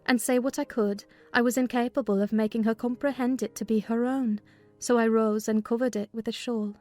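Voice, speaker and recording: A woman playing a sensible, scolding housekeeper who narrates a dramatized classic novel, measured in storytelling and firm and brisk in dialogue. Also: fairly high pitch, fairly even intonation, a little mellow, soft background music, natural voice. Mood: measured, concerned. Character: sensible, scolding housekeeper recounting her mistress's illness.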